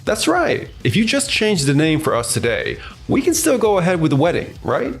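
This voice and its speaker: Cocky salesman played by a man, wildly swinging pitch. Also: wildly swooping pitch, moderate pace, faint music behind. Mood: pleading, ingratiating. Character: cocky, smug male top salesman who steals brides.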